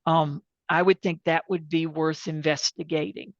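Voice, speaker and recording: A plainspoken woman presenting an informal software demonstration and taking questions, candid about what she does and doesn't know. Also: low-pitched, fairly even intonation, moderate pace, no room sound. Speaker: presenter and tech support. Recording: dull, band-limited sound.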